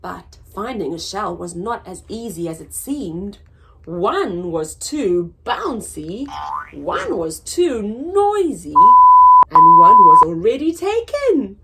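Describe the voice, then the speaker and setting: A woman hosting a children's story time, reading a picture book aloud in an expressive, sing-song way for small children. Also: medium pitch, slow, slight background noise.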